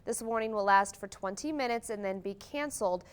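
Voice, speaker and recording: A woman's voice: medium pitch, brisk, clear, resonant tone. An American woman anchoring a morning newscast, reading scripted news in a TV studio.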